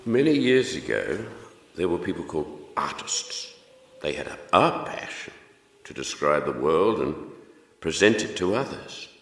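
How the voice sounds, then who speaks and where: A very low-pitched actor playing a melancholic male painter speaks with solemn hesitation in an audio drama. His delivery moves widely up and down as he philosophizes gently, and long reverb trails each phrase. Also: sing-song, measured pace, slight background noise, heavily acted. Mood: reflective. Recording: echoey hall with long reverb.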